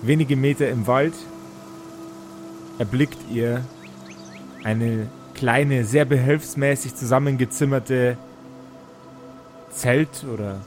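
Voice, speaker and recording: A man narrating an improvised tabletop fantasy game as game master, in halting, deliberate phrases. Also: mid-range voice, darkish tone. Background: background music.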